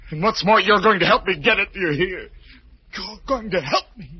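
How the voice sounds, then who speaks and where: An actor playing an unstable, obsessive concert pianist in old-time radio horror, with an intense, melodramatic manner. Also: male voice, high-pitched, moderate pace, lightly acted. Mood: frantic, demanding. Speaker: actor and first-person narrator. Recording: muffled vintage radio recording.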